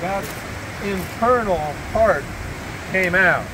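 A man's voice: sits high, lively intonation, takes it slowly, a loud crowd, poor audio. A man in his fifties narrating a casual outdoor travel vlog into a handheld action camera, easygoing and chuckling often. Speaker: travel vlogger. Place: outdoors on a glacier hike and campground.

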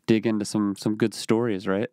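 A man hosting a casual podcast interview, relaxed and laughing easily, with lots of fillers as he asks questions. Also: fairly low pitch, moderate pace, no room sound, clean studio sound. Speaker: podcast host.

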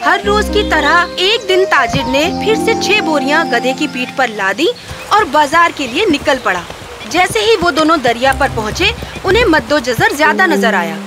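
A woman's voice: high voice, sing-song, over music. A woman reading a scripted children's story as the narrator.

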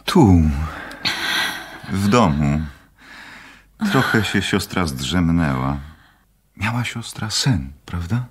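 A methodical doctor in a radio play. His voice is extremely deep and thoroughly husky. He speaks slowly and calmly, setting out each point with clinical deliberation. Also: full character voice. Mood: soothing, probing. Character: calm, methodical male doctor leading an experiment. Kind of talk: Polish radio play acting.

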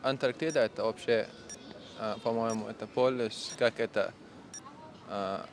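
A man's voice: lowish voice, steady tone, moderate pace, slight background noise. A man answering a street quiz, hesitating and searching for the right words. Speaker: passer-by.

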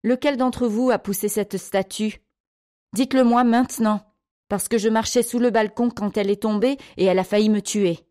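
A woman's voice: mid-range voice, fairly even intonation, normal speed, voice pushed up, close to an everyday voice. Woman acting angry, raised-voice female carer scolding. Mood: angry, commanding. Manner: sharp, commanding shouting.

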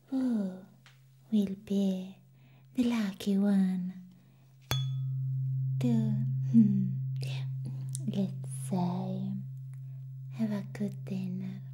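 Woman playing a slow, whispering, eccentric vampire nurse. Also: medium pitch, warm tone, a little hiss or hum. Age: young adult. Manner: breathy whispering, halting, giggly. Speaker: ASMR roleplay performer. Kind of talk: whispered ASMR roleplay. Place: small room set as a clinic. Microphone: close microphone.

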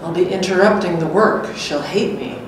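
A woman's voice: fairly low pitch, moderate pace, natural voice. A middle-aged woman giving a staged reading of a two-person script, playing a wry, anxious character. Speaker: performer reading a scripted dialogue. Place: echoey gallery room with hard walls. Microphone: stand microphone. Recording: echoey room, distant, faint noise.

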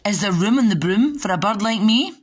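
A woman's voice: mid-range voice, animated, a little fast, husky. A woman voicing a polite, eager female bird in a children's storybook.

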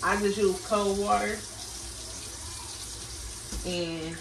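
A woman's voice: medium pitch, fairly even intonation, very slow, vocal fry, noisy background. A woman, chatting casually to her viewers as she cooks at home. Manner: chatty, addresses viewers as y'all. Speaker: vlogger. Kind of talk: casual cook-with-me chat. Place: small home kitchen. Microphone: camera across the kitchen. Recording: roomy kitchen audio from a distant camera, running water.

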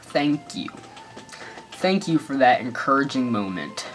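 A man's voice: medium pitch, sing-song, a little slow, a bit bright. A man with an American accent giving goofy, rambling let's play commentary full of sarcastic jokes. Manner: joking, rambling, sarcastic asides. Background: faint game music.

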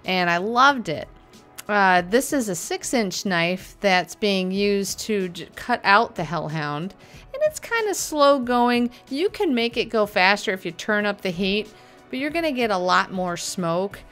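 This is a middle-aged woman in a home workshop, chatting casually about a carving tool. Her voice sits at an ordinary pitch and she sounds upbeat and unpolished, with fillers and easy laughter. Soft music plays faintly behind her. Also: expressive, a little slow. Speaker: prop maker reviewing a tool. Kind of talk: casual product review with voice-over. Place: home workshop studio, indoors.

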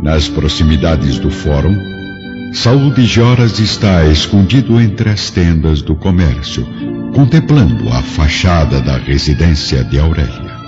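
Casting: male storyteller, very low voice, dramatic scripted narration over music. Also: expressive, a little slow. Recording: dull sound with music behind.

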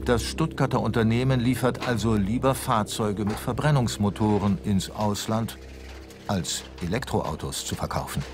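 Low male voice-over, investigative and questioning, over music. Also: moderate pace. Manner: questioning, probing. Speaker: documentary narrator. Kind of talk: scripted narration.

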